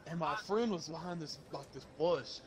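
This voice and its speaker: Higher-voiced man storytelling over crowd murmur. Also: normal speed. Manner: animated, slangy, acts out dialogue. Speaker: gaming video host telling stories. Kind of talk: casual storytelling among friends during online game.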